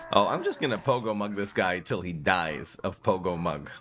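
Jokey low-voiced male game streamer. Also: a bit bright, slight background noise. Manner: joking, rambling, often laughs at himself. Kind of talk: casual live-stream commentary. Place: small room indoors.

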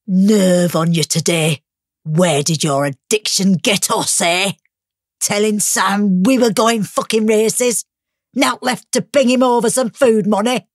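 Hardened Northern English working-class mother, gritty audio drama. Bitter, slangy, unhurried delivery, very dry sound. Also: mid-range voice, steady tone, no room sound. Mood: angry, accusing. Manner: blunt, slangy, sweary. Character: bitter, hard-drinking working-class mother, grieving and defiant.

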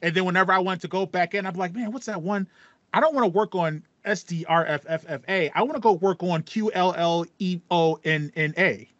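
A man's voice: high voice, fairly quick, fairly bright tone, no echo. A man in his thirties co-hosting a podcast in casual chat, acting out his thoughts as he talks. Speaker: podcast co-host. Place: small room at home with acoustic foam, indoors. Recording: slightly dull, band-limited audio.

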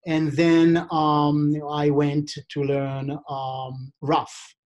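A man's voice: on the high side, monotone, darkish tone, dull audio. Middle-aged Israeli man on a webcam call. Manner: halting, many fillers and restarts, broken English phrasing. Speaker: diamond expert and vendor. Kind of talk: video call interview. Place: office indoors. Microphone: video call webcam.

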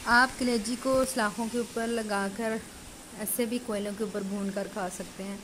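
A woman home cook talks casually through each step of her recipe. Her voice sits at a medium pitch. A phone over the pan picks her up with clear background noise and sizzling. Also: steady tone, a little slow. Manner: chatty, explains steps while cooking. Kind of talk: cooking tutorial narration. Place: home kitchen at a stove. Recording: noisy home recording.

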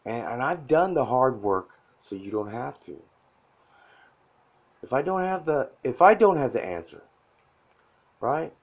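A soft-spoken man talks straight at the listener, blunt and profane, pushing people to reach out for help. His voice sits at an ordinary middle pitch. The sound is dull and lo-fi, like a phone camera mic in a room. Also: big pitch swings, unhurried, pauses often. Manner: blunt, profane, pushy pep talk. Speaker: self-help vlogger. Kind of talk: casual motivational talk to camera. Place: indoor room at a whiteboard.

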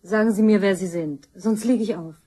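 A wary woman, played by an actress in a crime radio drama. She is curt and indignant, with a soft-toned, very raspy voice. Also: mid-range voice, moderate pace, natural voice. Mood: guarded, indignant. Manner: curt, guarded. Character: wary, indignant woman receiving a threatening call.

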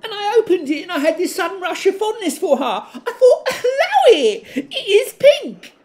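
An excitable young woman in an audio drama, very high-pitched and wildly animated. She gushes to a friend in slangy bursts, giggly and mock-outraged. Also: moderate pace. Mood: giddy, incredulous. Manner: exclamatory, slangy, laughing. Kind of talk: audio drama monologue as casual chat.

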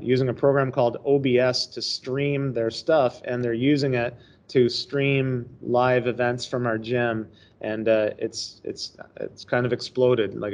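A man's voice: mid-range voice, highs cut off. A middle-aged male teacher giving a casual, chatty screen-share presentation on a video call. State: hoarse, coughing. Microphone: webcam on a laptop.

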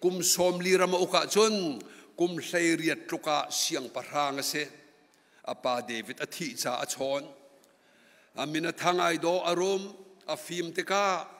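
A man's voice: fairly high pitch, fairly even intonation, unhurried, a bit bright. A middle-aged man preaching a sermon from a pulpit microphone in a large church hall, rising to emphatic passages. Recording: long church hall reverb.

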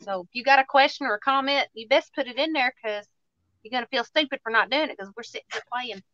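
A woman's voice: fairly high pitch, fast talker, pushed and bright, no echo, lo-fi sound. A woman in her thirties with a Southern US accent, chatting and joking casually while hosting a craft livestream. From Tennessee, USA. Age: 30s. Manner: chatty, joking, self-mocking.

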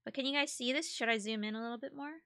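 High, bright-voiced woman hosting. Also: fairly even intonation, racing. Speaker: host of live dub session. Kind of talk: casual chat.

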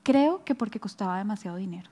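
A woman's voice: medium pitch, expressive, fast, mellow. A middle-aged Colombian woman answering audience questions as a guest speaker, explaining and thinking aloud into a headset mic. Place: stage of a large auditorium.

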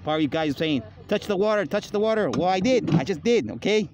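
Higher-voiced young man vlogging over noise. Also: animated, a little fast. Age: young adult. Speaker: vlogger, channel host. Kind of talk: casual vlog narration to viewers. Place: outdoors by a lake, handheld. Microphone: phone at arm's length. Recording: noisy outdoor phone recording.

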